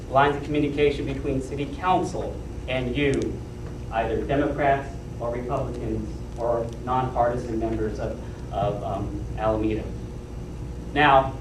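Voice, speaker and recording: A man's voice: mid-range voice, distant mic. Man, council candidate, emphatic formal stump speech, echoey hall, faint background noise. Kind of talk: prepared campaign speech at a forum.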